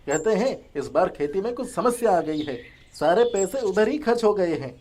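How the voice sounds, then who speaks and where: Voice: mid-range voice, animated, normal speed, darkish tone, natural voice. An actor playing a resentful, scheming young farmer, a younger brother, with a sulky, complaining manner.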